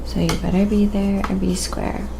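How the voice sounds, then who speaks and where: A woman hosting a casual ASMR painting livestream from home, chatting informally in a soft-spoken way over background noise. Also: mid-range voice, little pitch movement, moderate pace. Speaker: live-stream host and painter. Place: at home in an apartment. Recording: clearly audible background noise.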